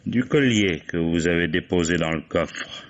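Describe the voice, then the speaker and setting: An actor playing a shrewd police commissioner in a vintage French radio mystery, measured and courteous with an ironic edge. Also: male voice, low-pitched. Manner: measured, ironic, mock-polite. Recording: dull vintage radio recording.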